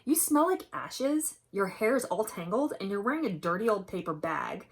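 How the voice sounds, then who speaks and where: A woman playing a grumpy, snooty young prince in a children's storybook, scolding in a drawn-out, haughty way. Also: mid-range voice, big pitch swings, slightly rough. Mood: disgusted. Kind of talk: children's storybook read-aloud.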